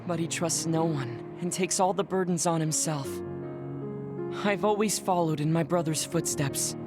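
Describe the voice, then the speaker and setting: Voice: mid-range voice, close to an everyday voice. A performer in an English game dub voicing a psychic decades old in a child's body, speaking formally and precisely, proud but insecure, over music. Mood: reflective. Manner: formal, precise, measured speech. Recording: music behind the voice.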